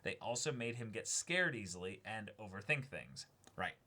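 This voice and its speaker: Young man with a bright, emphatic voice. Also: lowish voice, lively intonation, normal speed. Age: young adult. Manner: emphatic, informal, swears freely. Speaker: co-host reading and commenting. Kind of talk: casual discussion mixed with reading aloud. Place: small indoor studio with backdrop.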